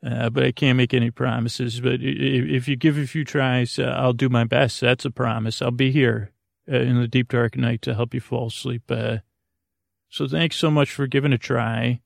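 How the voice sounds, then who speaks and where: A man in his forties with a creaky voice, hosting a sleep podcast in a halting, lulling, meandering bedtime monologue full of tangents. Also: mid-range voice, steady tone, no room sound, studio quality. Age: 40s. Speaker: podcast host. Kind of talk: rambling bedtime monologue to help listeners sleep.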